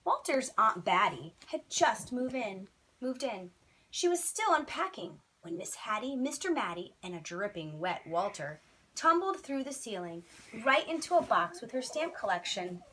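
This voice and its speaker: A woman's voice: a little high, very animated, moderate pace, pushed and bright, slight background noise, low-quality recording. A woman teacher reading a children's bedtime story expressively, with dramatic, emphatic phrasing.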